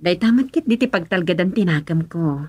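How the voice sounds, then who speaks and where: A woman playing the lady of the house in a radio drama, speaking calmly. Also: on the low side, brisk, husky, no echo. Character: woman of the house, addressed as madam.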